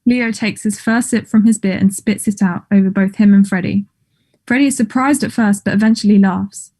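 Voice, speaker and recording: A woman's voice: mid-range voice, steady tone, normal speed, darkish tone. A woman reading stage directions as scripted narration for a play.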